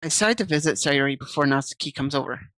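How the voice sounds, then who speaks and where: A woman's voice: low voice, fast talker, a bit bright, no room sound. A woman streaming, reading visual novel text aloud and chatting casually with viewers on a desk mic. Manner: chatty, reads game text aloud and answers chat.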